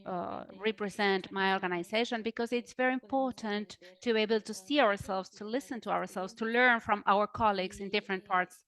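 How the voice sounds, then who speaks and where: A woman interpreting a speaker live into English during a webinar. She pauses and repeats words as she keeps pace, then goes on in a steady, even tone. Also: medium pitch. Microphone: video call.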